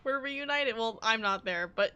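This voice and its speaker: Bright-voiced woman streaming, dramatically playful. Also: fairly high pitch, lively intonation, normal speed, dull audio. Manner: dramatic, playful pleading and exclaiming. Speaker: game streamer. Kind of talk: casual live stream reaction chat.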